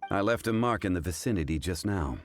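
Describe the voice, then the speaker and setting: Dignified game consultant, very deep voice, expressive intonation, formal measured delivery, faint noise. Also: male voice. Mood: grave. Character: composed, dignified older male consultant. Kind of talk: video game voice acting.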